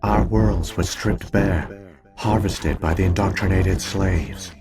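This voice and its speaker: Deep, grave ancient alien machine. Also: male voice, steady tone, vocal fry, music in the background, full character voice. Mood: grave, detached. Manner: solemn, measured, formal exposition. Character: ancient alien AI program, grave, coldly logical, weary. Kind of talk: scripted video game dialogue.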